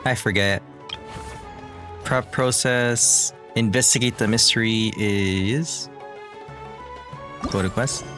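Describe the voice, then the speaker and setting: A man streaming a game, playful and giggly, thinking out loud as he goes. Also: mid-range voice. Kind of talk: casual gameplay chat.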